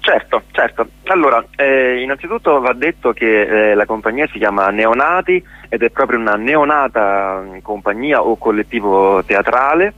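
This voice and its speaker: A man speaking as an actor and guest in a radio interview, explaining in a halting way and often searching for words. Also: mid-range voice, expressive, no room sound, narrow, tinny audio. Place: radio programme.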